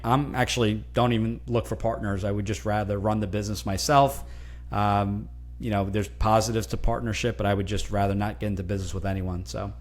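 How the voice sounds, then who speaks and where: A man from New Jersey whose first language is Italian, speaking as a guest in a casual interview, animated and often restarting words. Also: fairly low pitch, brisk. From New Jersey, USA. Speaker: guest, franchise consultant.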